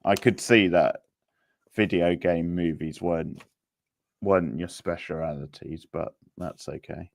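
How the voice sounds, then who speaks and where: Male quiz host, casual and unhurried, deep creaky voice with a halting delivery. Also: fairly even intonation, dry recording, clean studio sound. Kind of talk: casual live-stream quiz hosting.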